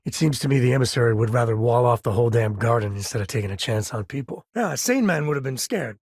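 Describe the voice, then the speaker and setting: A man's voice: medium pitch, husky, dry recording. Game role: rugged space ranger and protective father. Folksy, easygoing, wry, with natural hesitations. Dry studio sound. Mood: earnest, frustrated, then excited. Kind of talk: video game character dialogue.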